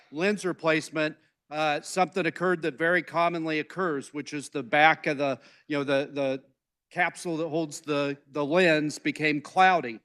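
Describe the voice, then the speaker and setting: A male state lawmaker addresses the chamber in debate. His voice is fairly high for a man, clean and resonant. He phrases things plainly, with some hesitation. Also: fairly bright tone, clear, resonant tone. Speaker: state legislator. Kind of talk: floor debate speech. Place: legislative chamber.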